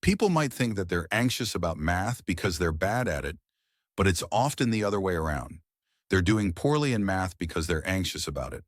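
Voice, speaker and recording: Very deep-voiced man reading aloud. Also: no room sound, studio quality. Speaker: read-aloud model reader. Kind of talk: reading a practice passage aloud.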